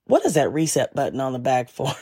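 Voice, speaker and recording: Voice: medium pitch, big pitch swings, a little fast, a little mellow, husky. A podcast host telling a story in a casual monologue, laid-back and wry with frequent chuckles.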